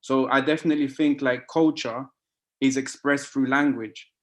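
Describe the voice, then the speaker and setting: A man's voice: medium pitch, fairly even intonation, normal speed. A man whose first language is Spanish, speaking hesitantly with many fillers in an online council meeting over a video call. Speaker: meeting participant.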